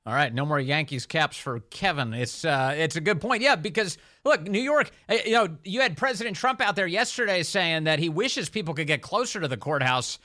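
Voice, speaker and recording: A man's voice: on the high side, lively intonation, brisk, hardly any pauses, pushed and bright, no echo, studio quality. A man hosting a conversational political talk show on the radio.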